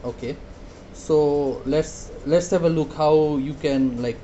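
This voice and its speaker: A man demonstrating a product casually, hesitant and halting, often repeating his words. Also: a little high, steady tone, mellow, lo-fi sound, slight background noise. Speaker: product reviewer.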